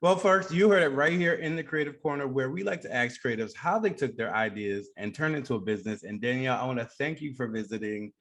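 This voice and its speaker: A man's voice: mid-range voice, fast talker, few pauses, fairly bright tone. A man hosting a creative business radio show, asking questions in a conversational interview style.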